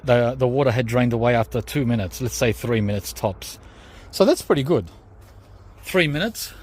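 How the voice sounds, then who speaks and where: A man whose first language is Greek, hosting a gardening vlog outdoors, tired after digging, explaining each step and calling viewers guys. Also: mid-range voice. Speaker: gardening channel host. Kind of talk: casual vlog talk to camera.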